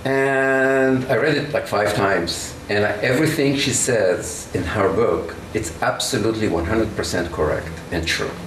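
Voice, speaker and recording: A man's voice: lowish voice, a little slow, few pauses, noisy background. A man in a casual interview, emphatic and full of fillers. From Israel. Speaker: interview guest. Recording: echoey, distant mic, music under.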